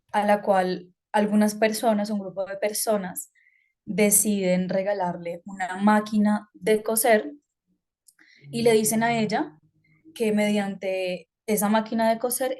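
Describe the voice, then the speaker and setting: A woman's voice: mid-range voice, a little mellow. A woman from Colombia giving an online talk, speaking conversationally with fillers and hesitations. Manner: conversational, hesitant with fillers, asks about slides.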